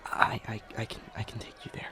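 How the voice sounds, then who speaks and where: Man voicing an anxious, soft, stammering young man. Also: brisk, in a whisper, lightly acted. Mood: nervous, cautioning. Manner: hesitant, stammering, soft-spoken. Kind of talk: dramatic audio roleplay.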